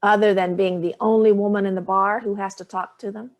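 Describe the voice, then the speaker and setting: A woman's voice: mid-range voice, flat delivery, brisk, natural voice. Actress as a cutting, hard-drinking older mother, dry and sardonic. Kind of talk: staged play reading.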